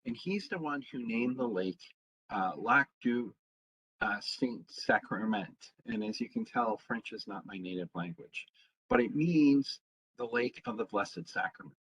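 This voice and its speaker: A man, a town historian, giving an informal public history talk, pausing often with ums and uhs. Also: medium pitch, very animated, creaky, a bit bright, slightly rough. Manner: halting, fills pauses with frequent ums. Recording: dull lo-fi sound.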